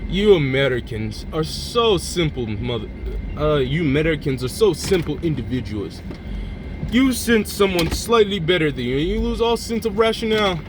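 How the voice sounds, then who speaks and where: A man's voice: fairly high pitch, big pitch swings, normal speed. A man voicing a scornful Russian mercenary commander in a put-on Russian accent, lecturing with condescension over traffic noise.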